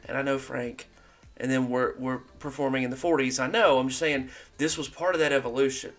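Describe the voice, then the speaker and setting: A man's voice: medium pitch, a bit bright. A man hosting a music reaction channel, talking casually to viewers in a rambling way and repeating points for emphasis.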